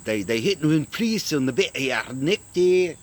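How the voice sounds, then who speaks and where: A man's voice: fairly high pitch, animated, moderate pace, voice pushed up, lightly acted. A man voicing a gossipy male leprechaun with a put-on Northern Irish lilt, folksy, rambling and chuckling. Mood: grumbling.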